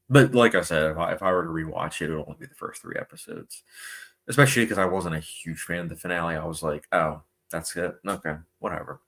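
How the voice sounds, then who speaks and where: A man's voice: deep voice, vocal fry, fairly bright tone. A young man hosting a casual live stream, reading out viewer comments and chatting loosely with plenty of fillers. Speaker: movie channel host.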